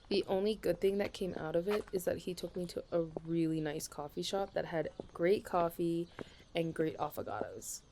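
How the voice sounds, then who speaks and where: A woman's voice: on the low side, normal speed, background noise, close to an everyday voice. A young woman playing a chatty, dramatic best friend in a casual ASMR audio roleplay. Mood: chatty, exasperated. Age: young adult. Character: chatty, caffeine-loving female best friend, self-deprecating about dating.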